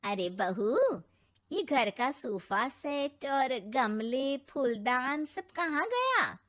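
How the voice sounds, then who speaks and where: Outspoken scolding mother-in-law, middle-aged , woman performer, family drama; unhurried, very expressive. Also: sits high, heavily acted. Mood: indignant. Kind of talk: Hindi family story dramatization.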